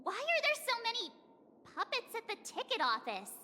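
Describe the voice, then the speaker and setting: A woman voicing a bubbly, easily spooked teenage girl adventurer in a video game, expressive and exclamatory. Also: very high voice, moderate pace, heavily acted. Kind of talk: anime-style video game voice acting.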